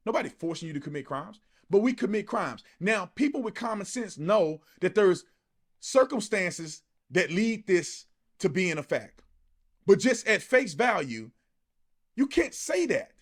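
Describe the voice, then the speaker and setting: A man's voice: high voice, big pitch swings, a little slow. A man with an American accent delivering an impassioned, colloquial opinion monologue, emphatic and full of rhetorical challenges. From United States. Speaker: online political commentator.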